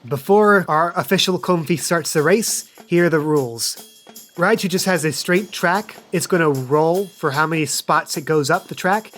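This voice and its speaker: A man's voice: a little high, normal speed, a bit bright, faint music behind. A man narrating an improvised tabletop game as game master, casual and playful in manner.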